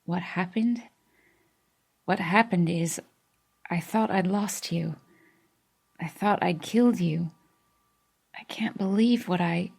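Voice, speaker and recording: A woman voicing a worried young man who murmurs softly, his voice strained with emotion. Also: on the low side, slow pace, gravelly, long pauses, breathy edge, heavily acted. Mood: tender.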